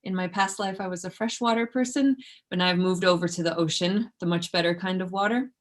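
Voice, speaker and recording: Lower-voiced woman giving an informal lecture. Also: brisk. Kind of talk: informal academic talk. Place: indoors in an office, online presentation.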